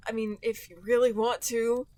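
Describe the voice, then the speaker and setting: A woman's voice: a little high, little pitch movement, normal speed, over a noisy crowd. A woman co-hosting a comedy podcast in casual, joking conversation. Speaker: podcast co-host.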